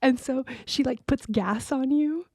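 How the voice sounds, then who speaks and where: A woman's voice: sits high, measured pace, crowd noise. A woman co-hosting a podcast in casual, candid, slangy chat, sometimes dropping into a whisper. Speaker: podcast co-host.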